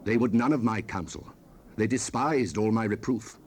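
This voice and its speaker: A man's voice: lowish voice, lively intonation, moderate pace, a little mellow. An actor playing a stern fatherly biblical sage, declaiming scripture solemnly in an archaic style for a dramatized audio Bible. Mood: stern, impassioned. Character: stern fatherly biblical sage, exhorting and warning his son. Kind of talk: dramatized King James scripture reading, 1970s audio production. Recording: noisy raw cassette rip.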